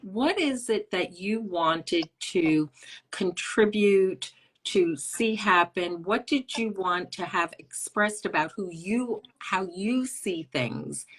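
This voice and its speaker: A woman's voice: mid-range voice, slow pace, fairly bright tone, husky. A woman hosting a podcast interview, searching for her words and rephrasing her questions as she goes. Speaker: podcast host.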